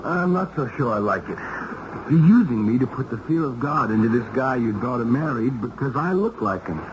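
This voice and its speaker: A hard-boiled American cop, played by a man, wry and skeptical, with a mid-pitched voice and animated delivery. He talks slangy, in old radio drama style, on a muffled, noisy recording. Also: brisk, close to an everyday voice. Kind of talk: 1970s radio drama acting.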